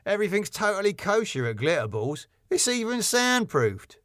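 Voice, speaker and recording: A man's voice: sits high, big pitch swings, moderate pace, a bit bright, no echo, clean studio sound, voice pushed up. A man voicing a carefree, breezy, unworried young male colleague in a sitcom.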